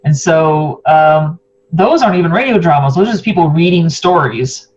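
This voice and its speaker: A woman's voice: deep voice, expressive, normal speed, a little mellow, slight background noise. Woman host on dull lo-fi audio. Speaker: host, interviewer. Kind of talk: casual interview chat. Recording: dull lo-fi sound.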